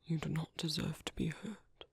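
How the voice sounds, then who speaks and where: A British man playing a caring, fatherly vampire priest in an ASMR roleplay, speaking softly and slowly into a close microphone with formal, gentle courtesy. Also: on the high side, fairly even intonation, a little hiss or hum. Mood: grave, tender. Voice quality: soft, breathy, often whispering. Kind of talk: soft ASMR roleplay, intimate and slow. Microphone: close microphone, dry.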